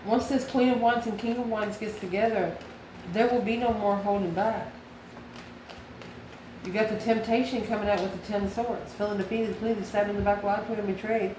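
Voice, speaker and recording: A woman reads tarot cards informally in an echoey room with noticeable background noise. Her voice sits at a medium pitch as she speaks straight to the listener. She muses aloud, posing questions and then answering them herself. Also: steady tone, normal speed. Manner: musing, asks and answers own questions. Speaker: tarot reader and channel host. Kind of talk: informal tarot card reading, talking to the viewer.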